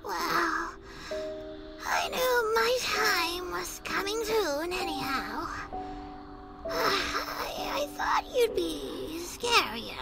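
A woman voicing a frail, kindly old pony on her deathbed in a fan fiction audio drama, gentle and tearful. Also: very high-pitched, very animated, extremely slow, gravelly, over loud music, exaggerated voice, poor audio. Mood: weary, wry.

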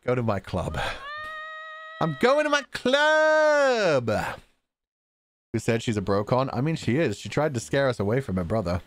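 Wildly animated man streaming a game. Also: medium pitch, a little slow, pauses often, a little hiss or hum. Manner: playful, theatrical, joking. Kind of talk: game livestream commentary and read-aloud of dialogue.

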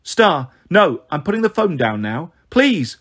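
A man's voice: fairly high pitch, big pitch swings, a little slow, a bit bright, heavily acted. A man voicing an anxious young girl in a storybook, urgent and pleading.

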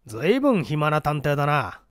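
A man's voice: fairly high pitch, big pitch swings, brisk, a bit bright, no room sound, clean studio sound. A man voicing a blunt, hot-headed newspaper reporter giving his account curtly in a mystery reading. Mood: indignant. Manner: curt, defensive. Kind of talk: dramatic storybook reading of a mystery.

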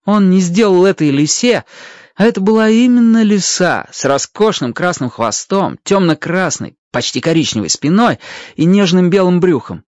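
A man's voice: sits high, expressive, moderate pace, a little mellow, dry recording, dull audio. A man narrating a children's adventure story expressively from a script, as a storyteller.

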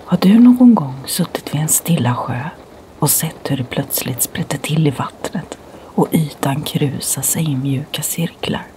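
A middle-aged woman from Sweden with a Swedish accent, whispering ASMR stories softly and slowly in her home library. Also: deep voice, lively intonation, slight background noise. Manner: soft, slow whisper. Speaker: ASMR creator and storyteller.

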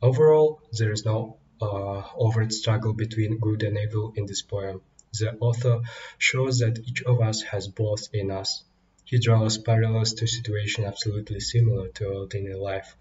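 A man's voice: on the low side, fairly even intonation, moderate pace, a little mellow. Muffled, lo-fi young man presenting. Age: young adult. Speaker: student presenter. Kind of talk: scripted book analysis. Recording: dull lo-fi audio with faint noise.

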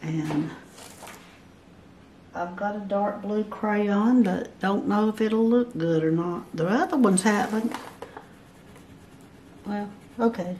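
Slow, patient woman teaching a craft. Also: mid-range voice, mellow, slight background noise. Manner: slow, patient counting of blocks aloud. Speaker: barn quilt pattern instructor. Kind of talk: step-by-step craft tutorial. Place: at a table over a paper pattern, indoors.

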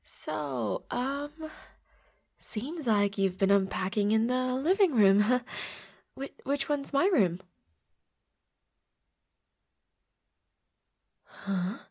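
Woman acting a lively, breathy vampire roommate. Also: fairly high pitch, slow pace, exaggerated voice. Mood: sweet, shy. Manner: stammering, playful, breathy asides in whispers. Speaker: voice actor. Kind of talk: flirty audio roleplay acting.

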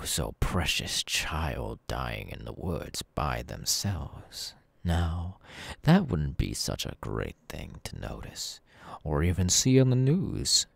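A man's voice: rumbling low, vocal fry, dry recording, full character voice. A man playing a smug, sadistic masked killer in an ASMR audio roleplay, taunting in a menacingly casual, mocking way. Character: sadistic masked young male killer, smug, gleeful cult henchman.

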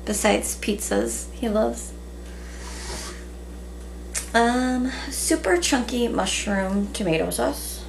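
A woman in her thirties chatting casually in a relaxed way with many ums, recorded at home on a camera at a table. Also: on the high side, slow pace, vocal fry, long pauses. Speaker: vlogger. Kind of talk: casual haul video chat. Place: small room at home, indoors. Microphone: camera in front at table. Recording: noisy home webcam recording.

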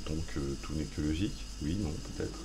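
A man's voice: rumbling low, brisk, background noise. A man hosting a gaming video, rambling through self-mocking, playful commentary as he plays. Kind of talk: joking let's play commentary.

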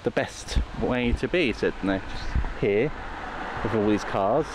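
A man's voice: on the low side, takes it slowly. A man chatting in a rambling, casual way as he hosts a walking vlog outdoors. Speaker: vlog host. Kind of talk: casual walking vlog narration to camera and companion. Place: outdoors walking along a country roadside in rain. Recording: outdoor recording with loud traffic noise.

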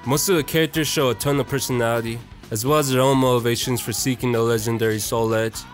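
A man's voice: medium pitch, fairly even intonation, fast talker. A young man narrating a casual, opinionated video essay in a slangy, profane and irreverent manner. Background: music.

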